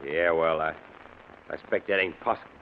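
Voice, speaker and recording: A man's voice: a bass voice, fairly even intonation, fairly quick. An actor playing a dutiful, gloomy mountain man with a folksy rural American accent in a radio drama. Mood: glum, confiding. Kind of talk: old-time radio drama acting. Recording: muffled old radio recording with hiss.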